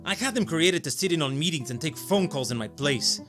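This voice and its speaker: A man acts out an overwrought soap opera leading man. His delivery swings widely in pitch and is full of earnest drama, with plucked guitar music playing clearly behind him. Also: lively intonation, a little fast, fairly bright tone. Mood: accusing, indignant. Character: melodramatic lovesick man and his suspicious clone original. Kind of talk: soap opera parody sketch.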